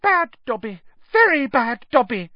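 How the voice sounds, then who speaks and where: A narrator voicing a small, servile male house-elf in an audiobook, with a squeaky voice and a pleading, stammering manner. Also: sing-song, unhurried, dry recording, highs cut off. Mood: self-scolding. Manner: pleading, stammering, speaks of himself in third person.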